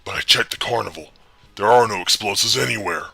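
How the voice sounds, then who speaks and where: A man playing a stern, intense vigilante hero in an audio drama, with a gruff, raspy voice and urgent delivery. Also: on the low side, fairly quick, sharp tone, dry recording, soft background music, full character voice. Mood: urgent, defensive. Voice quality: gravelly, raspy.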